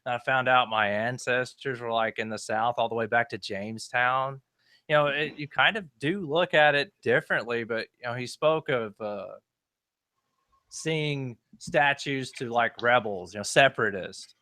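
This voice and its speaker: A talkative man from Western Oklahoma presses his point in a podcast debate. His voice is bright and forceful at a middling pitch. The sound is dry, with no room echo. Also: moderate pace. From Western Oklahoma, United States. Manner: talkative, argumentative. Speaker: podcast co-host, history channel creator.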